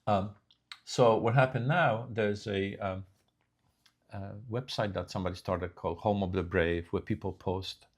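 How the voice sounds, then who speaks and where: An older man co-hosting a casual news chat, talking slowly with frequent restarts and fillers. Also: fairly low pitch, fairly even intonation. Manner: slow, halting delivery with many restarts. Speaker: co-host.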